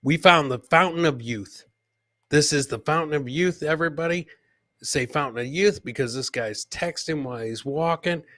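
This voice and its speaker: A man's voice: a little high, moderate pace, bright, forceful tone, dry recording, clean studio sound. A man hosting a live comedy clip show, joking and teasing as he comments on videos and repeats his punchlines.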